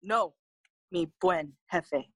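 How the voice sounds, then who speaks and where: A woman's voice: fairly low pitch, very slow, breathy edge, heavily acted. A woman playing a prince's loyal, concerned friend in a bilingual Shakespeare audio play, earnest and plainspoken. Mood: frank.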